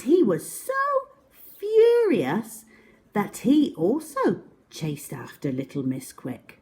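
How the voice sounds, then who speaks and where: A woman reading a picture book to young children as a storyteller, with dramatic pauses and emphasis, speaking directly to her listeners. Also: a little high, darkish tone.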